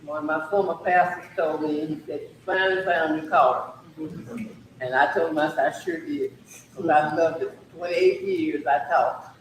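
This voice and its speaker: A woman in her sixties from Mississippi, a retired teacher, telling her story in a measured, reflective way. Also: low-pitched, big pitch swings, a little hiss or hum. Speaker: interview guest, retired teacher. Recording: echoey room, mic at a distance.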